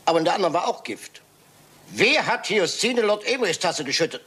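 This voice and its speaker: An actor playing a courtly, shrewd private detective in a German radio crime drama, theatrical and probing. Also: male voice, sits high, very animated, fairly quick, sharp tone, breathy edge, heavily acted. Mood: gallant, earnest.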